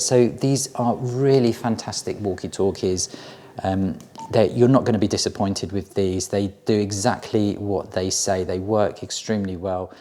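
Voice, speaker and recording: A man's voice: on the low side, darkish tone. A man giving an informal, unscripted product review in a practical, explanatory way.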